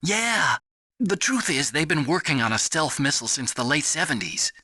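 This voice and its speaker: High, bright, nerdy hacker. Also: male voice, sing-song, moderate pace, no echo, clean studio sound, full character voice. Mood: urgent, explanatory. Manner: eager, explanatory. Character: nerdy, excitable male computer hacker, earnest and boastful. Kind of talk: dramatic video game voice acting.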